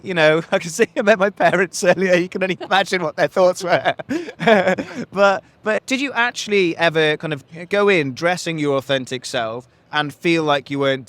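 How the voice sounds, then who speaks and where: A man's voice: a little high, a bit bright, no room sound. A man hosting a podcast, asking long, rambling questions full of restarts and fillers. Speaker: podcast host. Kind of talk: conversational interview.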